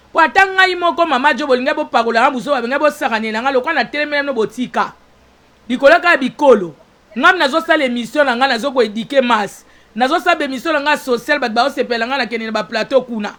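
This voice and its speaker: A woman's voice: high voice, a bit bright. A woman with a Congolese accent giving an informal, opinionated talk as a show host, emphatic and repetitive with rhetorical questions.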